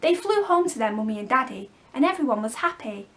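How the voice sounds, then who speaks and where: A woman's voice: on the high side, animated, brisk, natural voice. A woman voicing a kind, polite and slightly timid young girl in a storybook reading. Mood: soft, reassuring.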